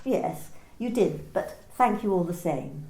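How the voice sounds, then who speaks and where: A woman playing a coolly clinical office manager in an amateur radio play, asking personal questions in a calm, matter-of-fact, bureaucratic way. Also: mid-range voice, slow, reverberant. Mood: businesslike.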